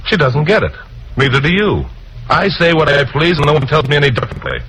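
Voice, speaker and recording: An actor playing a tough, defiant male broadcaster in an old-time radio drama, gruff and sardonic as he argues and narrates. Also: fairly high pitch, expressive, fast, noisy background. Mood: defiant, irritated. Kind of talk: old-time radio drama acting, hard-boiled first-person narration. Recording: old radio recording with music behind.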